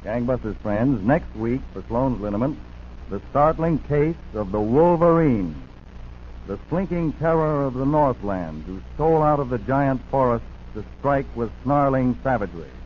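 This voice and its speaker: A man's voice: mid-range voice, animated, moderate pace, highs cut off, slight background noise. An American man announcing in urgent, melodramatic 1940s radio style, on an old broadcast recording. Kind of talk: 1940s dramatic radio narration.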